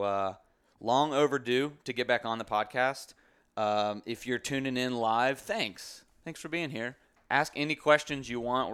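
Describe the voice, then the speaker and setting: A man hosting a podcast, chatting casually and joking with his guest, relaxed and loose with fillers. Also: medium pitch, clear, resonant tone. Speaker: podcast host.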